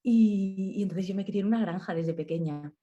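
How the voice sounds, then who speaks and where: A woman's voice: mid-range voice, moderate pace, highs cut off. A middle-aged woman from Málaga, a guest telling stories in an interview, often adding "¿no?". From Málaga, Spain. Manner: warm storyteller, many "¿no?" tags. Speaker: guest, nutrition specialist. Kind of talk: relaxed storytelling interview.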